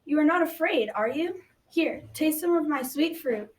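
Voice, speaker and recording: A woman's voice: high voice, lively intonation, normal speed. A young female student playing a sly, coaxing enchanted fruit tree in an amateur school radio drama. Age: child or teen. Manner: coaxing, taunting. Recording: distant from the mic.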